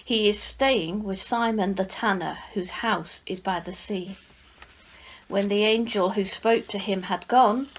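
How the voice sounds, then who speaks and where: A woman reading a Bible passage aloud in a measured, expressive way, as a scripture reader. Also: mid-range voice, low-quality recording.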